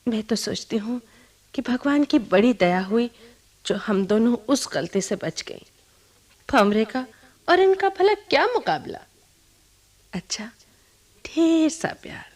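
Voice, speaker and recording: Playful, high-voiced young bride. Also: female voice, very animated, slow, long pauses, a little mellow, full character voice. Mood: fond, chatty. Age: young adult. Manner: teasing, giggly, coaxing. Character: playful, affectionate young newlywed bride on honeymoon. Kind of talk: Hindi radio play acting.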